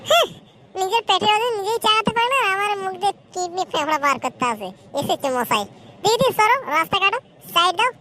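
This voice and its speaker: A woman's voice: very high voice, animated, quick pace, sharp tone, slight background noise, heavily acted. A woman voicing a cheeky, foul-mouthed, lovelorn young son in a comedy cartoon, brash, quarrelsome and whining. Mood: brash, insolent.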